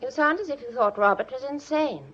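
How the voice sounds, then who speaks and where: High, tense young British woman. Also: brisk, fairly bright tone, lo-fi sound. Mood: tense, guarded. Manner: urgent, hesitant, anxious. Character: earnest young woman, tense but composed, guarded. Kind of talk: British radio drama acting.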